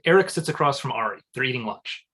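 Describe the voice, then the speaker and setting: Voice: medium pitch, expressive, quick pace, a bit bright, slightly rough. A narrator reading scripted stage directions aloud at a live comedy table read.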